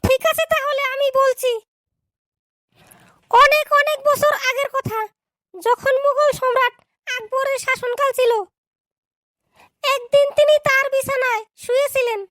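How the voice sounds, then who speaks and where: A woman's voice: very high-pitched, steady tone, a little slow, long pauses, a bit bright, no echo, studio quality. A woman voicing a strict schoolteacher in a cartoon, scolding and lecturing her class. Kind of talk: cartoon dub acting.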